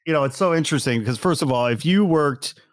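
Man, 50, chatty interview host, a bit high-pitched, effusive, dry sound. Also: lively intonation, quick pace, no room sound. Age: 50s. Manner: effusive, casual, swears, lots of fillers. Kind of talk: casual interview conversation.

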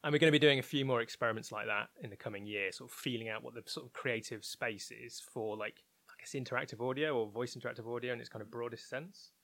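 Bloke being interviewed, medium pitch, thinks out loud and restarts, really dry recording. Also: male voice, fast, gravelly, few pauses, no room sound. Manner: thoughtful, hedging, often restarts mid-phrase. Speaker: interviewed research and development head. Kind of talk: conversational interview.

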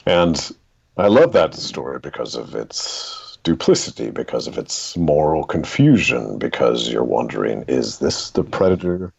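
A man with a very deep, gravelly voice speaking as a guest author, discussing stories in a deliberate, dramatic storyteller's way. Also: animated, darkish tone, lo-fi sound.

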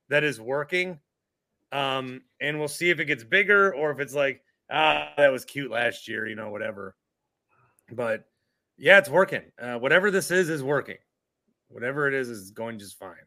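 A man's voice: fairly high pitch, moderate pace, bright, forceful tone. A man hosting a casual sports podcast stream, joking and chatty, poking fun at himself. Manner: joking, self-deprecating, chatty. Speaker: podcast host.

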